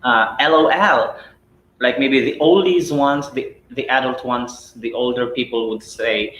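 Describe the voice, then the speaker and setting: A chatty Filipino man runs a live English lesson, asking rhetorical questions and tagging "right?" onto his points. His voice sits at an ordinary middle pitch. It bounces around a roomy space, with a faint hum of ambience underneath. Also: unhurried, fairly bright tone. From Philippines. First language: Cebuano. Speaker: English teacher.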